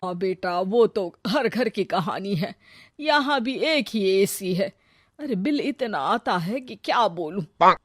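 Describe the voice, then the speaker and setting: A woman's voice: medium pitch, expressive, slow pace, dry recording. A fussy, indulgent mother chats and scolds in an ordinary mid-range voice, as a woman plays her. She sounds caring but bossy. The sound is close and dry. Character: doting middle-aged mother, indulgent and fussy. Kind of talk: Hindi cartoon story voice acting.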